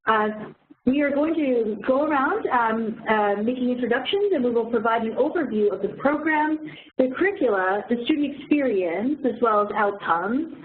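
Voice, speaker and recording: Higher-voiced woman moderating, phone-like audio. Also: expressive. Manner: halting, filler-heavy. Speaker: admissions staffer moderating an information session. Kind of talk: welcoming session introduction. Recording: phone-like lo-fi audio with faint noise.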